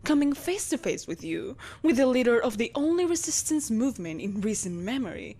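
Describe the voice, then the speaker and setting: A woman's voice: on the high side, big pitch swings, fairly quick, dark tone. A woman playing a malevolent, possessive empress in a dramatic audio roleplay, imperious and theatrical, with mocking sweetness. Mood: amused, mocking.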